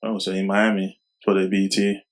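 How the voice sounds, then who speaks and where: A young man from Cameroon, raised in Germany, a rapper answering interview questions in a soft, halting way with many fillers. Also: low-pitched, level tone. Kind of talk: casual interview talk.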